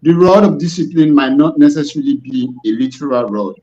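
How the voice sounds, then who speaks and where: A man's voice: on the high side, animated, a little fast, mellow. A man casually hosts and moderates a live discussion over an online video call. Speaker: host. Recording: dull, lo-fi internet call audio.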